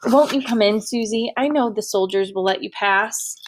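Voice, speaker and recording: A woman voicing a young girl who speaks gently and firmly. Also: medium pitch, normal speed, a little hiss or hum, close to an everyday voice. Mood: concerned. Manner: gentle, firm. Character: calm, firm young freed girl.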